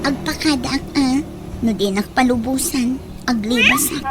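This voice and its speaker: A woman as a high-pitched pleading young daughter. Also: sing-song, measured pace, loud music behind the voice. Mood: pleading, determined. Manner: pleading, insistent. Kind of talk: radio drama acting. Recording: loud background noise.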